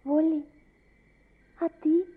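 A woman's voice: sits high, level tone, takes it slowly. A woman playing a shy, coy schoolgirl in a Soviet radio play. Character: shy, coy schoolgirl confiding a secret crush. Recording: tinny old radio play recording.

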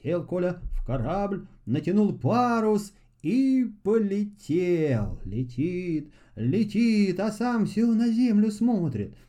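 A man narrating a scripted fairy tale for children as an expressive, unhurried folk storyteller. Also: high-pitched.

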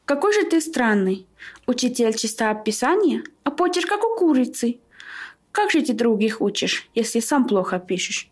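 A woman plays a giggly, affected young lady in a radio play. Her voice is high and very animated, with a coquettish, mocking, shrieky edge. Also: moderate pace, breathy edge. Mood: mocking, amused. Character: giggly, teasing, affected young unmarried daughter.

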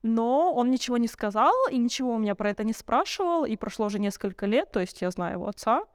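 A young woman with a smooth, clean voice recorded close and dry. She speaks as a podcast guest, candidly sharing personal stories. Her manner is reflective, and she often feels for the right word. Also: fairly high pitch, animated, quick pace, dry recording. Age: young adult. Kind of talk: candid conversational storytelling.

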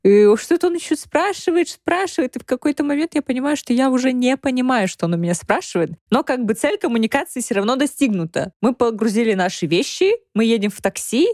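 A woman's voice: on the high side, quick pace, few pauses, dry recording. Woman, podcast co-host, casual chat, laughs often, dry close sound. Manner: lively storyteller, laughs often.

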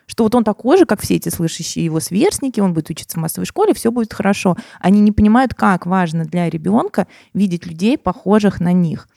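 Woman host, quick and gravelly, lowish voice. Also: expressive, mellow, no echo, clean studio sound. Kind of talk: casual conversation.

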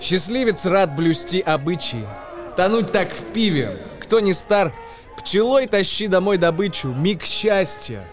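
A man's voice: high voice, measured pace. Declamatory man reciting verse over music. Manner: declamatory, expressive. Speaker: actor narrating a tale. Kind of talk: dramatic verse recitation for radio play.

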